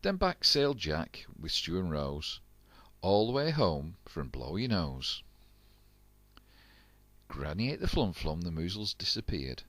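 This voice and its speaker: Lively older grandpa reading rhymes. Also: male voice, low-pitched, measured pace, pauses often, no room sound. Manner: animated, rhythmic rhyming delivery. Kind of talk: storybook reading aloud.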